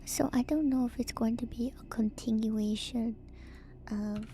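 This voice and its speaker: A woman giving whispered ASMR commentary as a streamer, in a soft, breathy, hushed and musing way, close to the microphone. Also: on the high side, steady tone, unhurried. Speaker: streamer, host of a lore breakdown.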